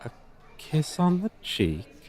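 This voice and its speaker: A man's voice: fairly high pitch, big pitch swings, takes it slowly, a little mellow. A man voicing a proud, centuries-old dragon teacher with stilted, archaic, formal diction in an ASMR roleplay. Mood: gently amused.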